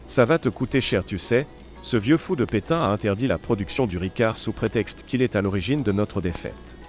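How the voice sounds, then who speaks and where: Low-voiced shrewd Resistance barkeeper, played by a man. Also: animated, fairly quick, natural voice. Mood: wry, familiar. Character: cold-blooded French Resistance barkeeper, shrewd plotter. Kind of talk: wartime audio drama dialogue. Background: music behind the voice.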